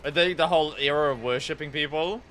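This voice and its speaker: Bright-voiced New Zealand man streaming. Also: on the high side, quick pace, a little hiss or hum. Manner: sweary, rambling, informal. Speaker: live game streamer. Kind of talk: casual livestream chat while gaming.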